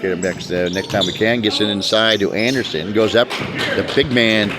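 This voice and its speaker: A man announcing basketball play by play in a brisk manner, calling the action as it happens. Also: fairly low pitch, noisy background. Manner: brisk, talks over the action. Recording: loud crowd and bouncing balls behind. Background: gym crowd and basketball bounces.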